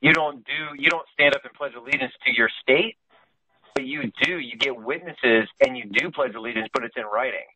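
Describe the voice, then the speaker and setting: A man's voice: mid-range voice, brisk, sharp tone, dry recording, poor audio. A man in an informal political discussion on a conference call, explaining his points and often checking that listeners follow. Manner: explanatory, asks check-in questions like "you know?".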